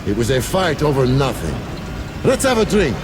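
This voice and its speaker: Emphatic, swooping comrade. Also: male voice, medium pitch, huge pitch swings, normal speed. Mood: friendly. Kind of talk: video game dramatic acting. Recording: distant, noisy ambience.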